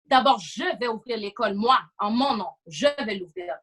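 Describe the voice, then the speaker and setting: A woman's voice: mid-range voice, normal speed, a bit bright, slightly rough. A woman with a Quebec French accent speaking as a panelist in a casual discussion, emphatic, repeating phrases and slipping into English. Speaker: podcast panelist.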